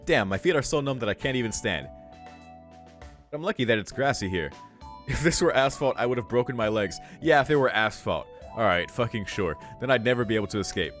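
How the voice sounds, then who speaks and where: A man's voice: medium pitch, lively intonation, brisk, a bit bright. A man reading a visual novel aloud as a streamer, with amused, slangy and sweary casual asides. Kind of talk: casual read-aloud with commentary. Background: faint game music.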